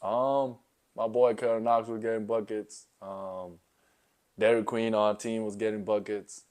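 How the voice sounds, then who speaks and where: A man's voice: lowish voice, level tone, a little slow, darkish tone. A young man from South Sudan, a college basketball player, answering interview questions in a laid-back way, full of "you know" and "um". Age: young adult.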